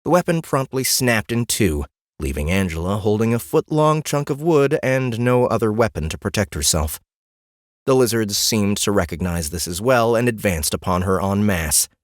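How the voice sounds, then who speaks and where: A man narrates a fantasy audiobook in a low voice. The reading is scripted storytelling, captured close and very dry on a studio mic. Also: expressive, normal speed, no room sound.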